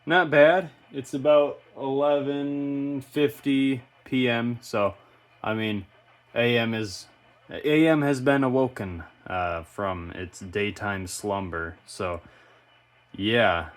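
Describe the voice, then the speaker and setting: A man's voice: mid-range voice, fairly even intonation, takes it slowly. A man hosting an electronics video, giving casual, unscripted commentary and rambling as he thinks aloud. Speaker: host of a vintage electronics inspection video.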